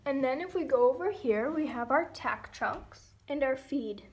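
A woman giving a casual guided tour of her model horse barn, listing each horse in a steady run of "and then". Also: high voice, a little slow. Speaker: model horse hobby vlogger. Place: indoors in a home room beside a toy horse barn.

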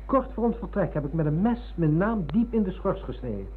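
Nostalgic middle-aged man, polite, earnest, slightly high husky voice. Radio drama, phone-like band. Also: sing-song, fairly quick, slight background noise. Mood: wistful, insistent. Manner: earnest, polite, dwelling on words. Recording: narrow phone-like old radio recording.

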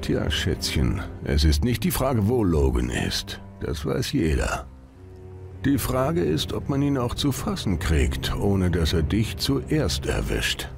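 A man's voice: low-pitched, huge pitch swings, slow, gravelly, over music, full character voice. A man playing a mocking, foul-mouthed gang boss in a game, with a condescending, sardonic drawl.

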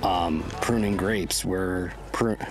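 A man's voice: deep voice, steady tone, slow, over music. A man in his thirties from California, giving a thoughtful interview answer outdoors in a vineyard. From California, United States. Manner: thoughtful, with restarts. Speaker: volunteer. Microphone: handheld furry mic held by interviewer.

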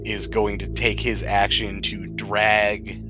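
A man's voice: fairly low pitch, level tone, slow pace. A man acting as game master, chatting casually and narrating a tabletop game with friends. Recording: music playing behind the voice. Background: music.

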